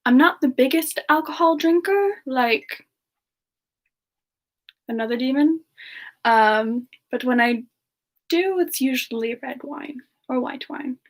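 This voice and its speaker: A sweet, bashful female demon singer, played by a woman, chats softly in semi-ASMR livestream style. Her voice sits fairly high and moves very slowly. She is gentle and hesitant, often sinking toward a whisper. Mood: cozy, friendly.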